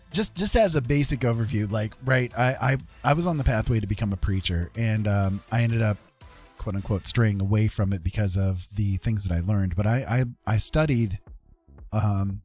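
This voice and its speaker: A man with a fairly low voice talks casually as a livestream host. He explains things step by step, pausing between short phrases. Music plays faintly behind him. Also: darkish tone, slightly rough.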